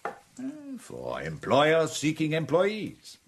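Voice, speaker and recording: An actor playing a suave, condescending older spymaster villain in a radio drama. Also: male voice, on the high side, huge pitch swings, slow, slight background noise, exaggerated voice. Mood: urbane.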